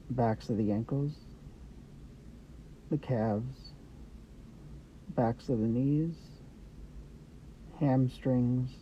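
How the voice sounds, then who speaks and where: A man guiding a meditation with gentle, unhurried instructions in short phrases with pauses between them. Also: medium pitch. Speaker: meditation guide. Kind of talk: guided meditation, Yoga Nidra. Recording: dull lo-fi audio with background noise.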